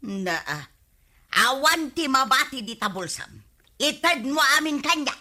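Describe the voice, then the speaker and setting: High, bright, bossy Ilocano wife. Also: female voice, sing-song, a little slow, full character voice. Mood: demanding. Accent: Filipino, Ilocano. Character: bossy, money-grabbing nagging village wife who gossips. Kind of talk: comedic radio drama acting.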